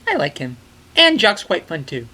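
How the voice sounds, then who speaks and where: A man's voice: high voice, huge pitch swings, normal speed, bright, forceful tone, soft background music. A young man narrating a comedic film review from a small bedroom, with jokey, enthusiastic asides.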